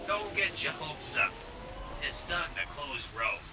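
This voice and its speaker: A man's voice: on the high side, big pitch swings, a little slow, background noise. A man acting a casual, obliging city cab driver in an audio drama. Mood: matter-of-fact.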